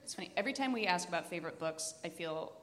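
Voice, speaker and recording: A woman's voice: on the low side, lively intonation, rapid-fire, a bit bright. A woman podcast host giving casual, enthusiastic book recommendations to a group, in a blunt and informal way. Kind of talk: casual chat before a live group. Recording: echoey hall with long reverb.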